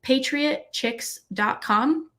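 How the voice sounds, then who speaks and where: A woman's voice: high voice, drawn-out words, pushed and bright. A woman hosting a live online talk, speaking fluently in an earnest and emphatic way.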